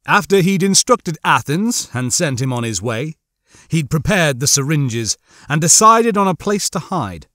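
A man's voice: fairly high pitch, very animated, a little slow, bright, forceful tone, dry recording, studio quality. A man reading a story aloud as an audiobook narrator, with dramatic scripted narration.

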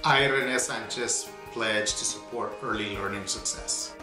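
A man's voice: on the low side, a little slow. A man, speaking as a school principal in an interview. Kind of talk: interview testimonial. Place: small office, indoors. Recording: echoey office, music behind.

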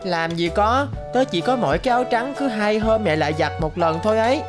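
A woman's voice: medium pitch, lively intonation, fairly quick, music in the background, natural voice. Woman acting a good-natured cartoon schoolboy. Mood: friendly chatter. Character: good-natured schoolboys, poor and loyal friends.

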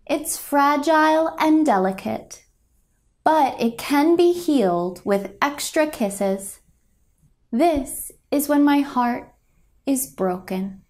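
A young woman reading a children's picture book aloud in a gentle, expressive, deliberate storytelling manner. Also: on the high side. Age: young adult. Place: indoors, a home room.

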